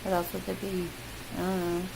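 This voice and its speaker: A woman's voice: on the low side, fairly quick, gravelly. A young woman with a Midwestern American accent, chatting casually through a word list with lots of ums and self-deprecating asides. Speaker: vlogger. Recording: loud steady hiss.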